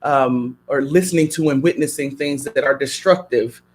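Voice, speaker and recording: A man's voice: medium pitch, normal speed. A man on a radio talk show, speaking in a preacherly way and building his points with strings of repeated questions. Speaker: guest.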